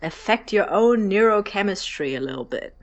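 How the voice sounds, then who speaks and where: A playful young student acted by a woman in a naturalistic audio drama. Her mid-pitched voice swings widely up and down. She coaxes and teases with outgoing persuasion. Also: expressive, normal speed, highs cut off, natural voice. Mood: coaxing. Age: young adult. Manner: teasing, coaxing.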